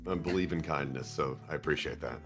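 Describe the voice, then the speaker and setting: A man's voice: very deep voice, brisk, fairly bright tone, soft background music. A man who is a voice actor answering interview questions in a friendly, hesitant way, with many restarts. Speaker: voice actor guest.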